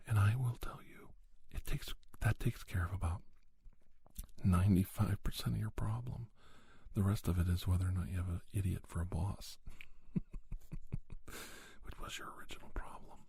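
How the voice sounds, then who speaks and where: A man hosting an ASMR podcast, giving personal advice in a soft, breathy whisper with deliberate, repetitive phrasing. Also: low-pitched, slight background noise. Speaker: podcast host.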